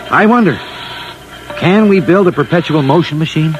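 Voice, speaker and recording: An actor playing a plain-spoken Earth scientist in a 1950s radio drama, keen, direct and informal. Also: male voice, fairly high pitch, moderate pace, over music, natural voice. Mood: matter-of-fact, curious. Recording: noisy old-time radio recording.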